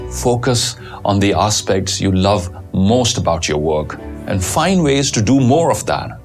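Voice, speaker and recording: A man reciting a poem and giving a motivational talk in a slow, deliberate, earnest way. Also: fairly low pitch. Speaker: motivational speaker. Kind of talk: poetry reading and motivational talk.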